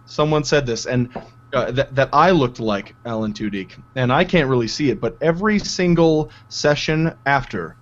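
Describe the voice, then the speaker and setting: A man at a middle pitch chats as a tabletop player over a video call. He is self-deprecating and gets flustered when things go wrong. The call audio is dull and lo-fi. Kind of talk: casual chat on a game show.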